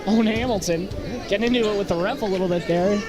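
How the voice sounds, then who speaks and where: A man's voice: high-pitched, fast. A man doing live basketball play-by-play in short, clipped calls. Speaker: play-by-play sports commentator. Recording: very noisy gym, loud crowd din. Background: basketball bounces and crowd noise.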